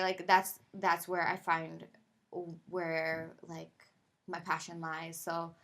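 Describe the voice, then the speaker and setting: A woman from Pakistan, a podcast guest, talking casually and thoughtfully with many pauses, fillers and restarts. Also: on the low side, a bit bright. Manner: thoughtful, halting, lots of "like" and restarts. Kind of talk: casual conversational interview.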